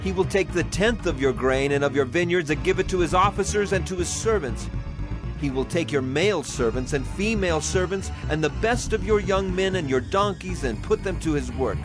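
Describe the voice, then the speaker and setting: A man's voice: on the high side, animated, normal speed, close to an everyday voice. An actor playing a stern, authoritative male prophet in a dramatized scripture, solemn and declamatory. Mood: stern, warning. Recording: music behind the voice.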